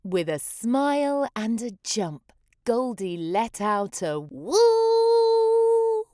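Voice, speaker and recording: A woman reads a children's picture book in rhyme, theatrical and rhythmic. Her pitch rises and falls widely and her pace is unhurried. The recording is very dry. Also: animated, a little mellow, dry recording. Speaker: children's story reader. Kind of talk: expressive picture-book read-aloud.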